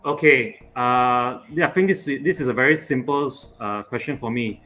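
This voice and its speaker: A man whose first language is Chinese, a guest on a casual podcast, explaining his choices at length in a deliberate way over a video call. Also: mid-range voice, pushed and bright, faint music behind. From Asia. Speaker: panel guest.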